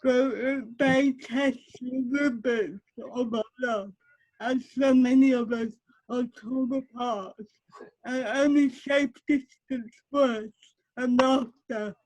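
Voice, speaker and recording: A woman reading her poem aloud over a video call, in a measured, lingering way. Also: high-pitched, steady tone, a little mellow, rough recording. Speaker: poet reading. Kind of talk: slow poetry reading.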